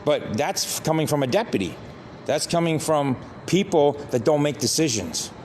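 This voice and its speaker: A man's voice: on the high side, expressive, moderate pace, darkish tone, soft background music. A man from Vietnam, speaking English as a second language, livestreaming an emphatic outdoor rant and repeatedly asking for agreement. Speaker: livestream host. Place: outdoors near a government building. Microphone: phone held by the speaker.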